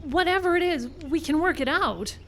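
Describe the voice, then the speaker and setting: A woman plays a level-headed skeptic on a film crew in a radio drama. Her high voice is dry and reasoning, over faint noise in a live recording. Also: lively intonation, moderate pace, fairly bright tone, heavily acted. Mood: alarmed. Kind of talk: live radio play acting. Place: live radio show in a park.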